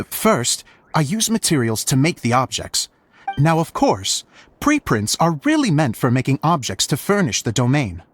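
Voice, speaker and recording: An actor playing an earnest, dramatic amateur stage director, humble and slightly flustered, in an anime video game. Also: medium pitch. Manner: theatrical, earnest, hesitant when embarrassed.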